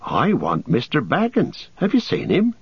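A man voicing a cheerful young hobbit gentleman with a breezy, teasing manner, on a dull lo-fi audiobook recording. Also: a little high, wildly swooping pitch, a little slow, husky, dry recording, pitched above the performer's own voice, exaggerated voice.

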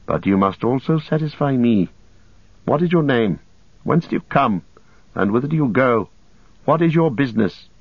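A man voicing a stern male captain who passes judgment in formal, solemn and deliberate speech. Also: medium pitch, expressive, slightly rough, no echo, dull audio. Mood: stern, grave. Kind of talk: audiobook character voice.

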